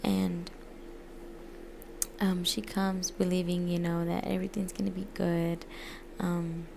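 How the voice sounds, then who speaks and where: A woman who grew up in the United States gives an informal, halting book presentation as a student, often pausing and correcting herself. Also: on the low side, level tone, creaky, darkish tone, slight background noise. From United States, born in Mexico. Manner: hesitant, halting, frequent pauses and self-corrections. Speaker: student presenting a literature essay.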